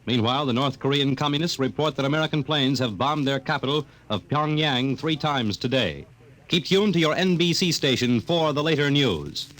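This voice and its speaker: A man with a middling pitch reads a news bulletin. His delivery is formal and urgent, in old-time 1950s radio style. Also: normal speed, fairly bright tone, rough recording. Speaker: newsreader.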